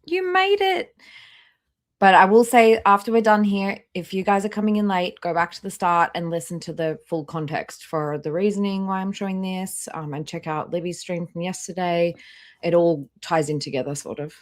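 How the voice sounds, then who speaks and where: A woman's voice: fairly low pitch, moderate pace. A woman hosting a live stream with casual reaction commentary, speaking in a blunt, skeptical way and swearing freely.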